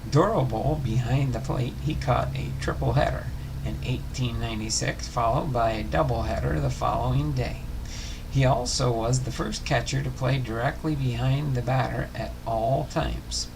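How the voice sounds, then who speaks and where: A man hosting a livestream, talking casually and reading aloud in a halting way with uneven phrasing. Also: mid-range voice, gravelly, noisy background. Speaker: host of a baseball biography livestream.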